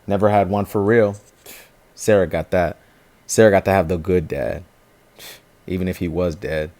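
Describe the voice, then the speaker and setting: An actor playing a defiant, streetwise young activist in an audio drama, with a sardonic, informal way of talking. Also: male voice, low-pitched, fairly even intonation, normal speed, darkish tone, dry recording. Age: young adult.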